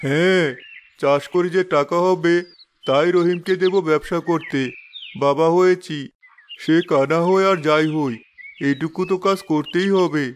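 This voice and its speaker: A man voicing a humble, trusting blind village father in an animated moral story, speaking earnestly. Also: on the high side, measured pace, a little hiss or hum, natural voice. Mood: resolved.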